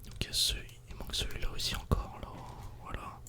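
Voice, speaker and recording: A man softly whispering casual, informal ASMR commentary while playing a video game. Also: quick pace. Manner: soft-spoken, casual, informal.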